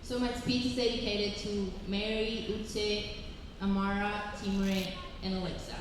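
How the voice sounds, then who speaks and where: A woman's voice: mid-range voice, flat delivery, measured pace, background noise. A young woman from Spain with a Spanish accent, an exchange student giving a heartfelt graduation speech. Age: young adult. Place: large echoing hall. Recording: echoey hall with loud ambience.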